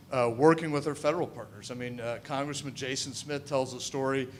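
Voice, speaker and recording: A man's voice: mid-range voice, a little hiss or hum. Big-voiced Midwestern man at a forum. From Missouri. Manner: loud, confident, says he has a big voice. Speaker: political candidate at a forum. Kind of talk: forum answers, public speaking. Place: event hall with audience. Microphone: handheld microphone.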